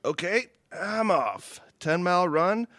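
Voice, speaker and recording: A hearty jock friend, acted by a man in a radio comedy, brash and upbeat. His mid-range voice is lively and recorded dry and close. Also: high voice, takes it slowly, no room sound, exaggerated voice. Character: hearty, athletic ex-football-player buddy. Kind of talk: audio comedy acting.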